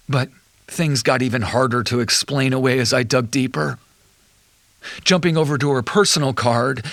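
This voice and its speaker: Betrayed husband, mid-range voice, bitter and measured, audio drama monologue, close dry sound. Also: male voice, medium pitch, fairly bright tone, no echo. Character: betrayed husband, an accountant recounting his wife's affair.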